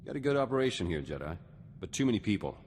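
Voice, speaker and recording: A gruff male soldier from a video game, guarded and efficient, speaking in short fragments. His deep voice moves around a lot in pitch and rings in a long reverb. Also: very animated, quick pace, darkish tone, slight background noise. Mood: guarded, terse. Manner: clipped, terse fragments. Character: gruff, distrustful male war veteran, terse and efficient.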